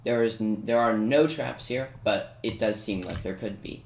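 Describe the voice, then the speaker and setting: A man's voice: lowish voice, moderate pace, a little mellow. Man giving casual game walkthrough, echoey room. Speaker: video creator giving game walkthrough commentary. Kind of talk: casual gameplay tutorial commentary. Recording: roomy echo, faint noise with key clicks. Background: computer keyboard typing and clicks.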